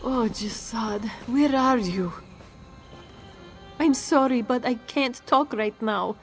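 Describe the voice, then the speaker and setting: Fantasy game role, female, acted: worried, mourning beloved, bitter edge, slightly high voice, faint score behind. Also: takes it slowly, soft background music. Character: anxious, grieving, bitter woman missing her beloved. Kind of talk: scripted fantasy game drama.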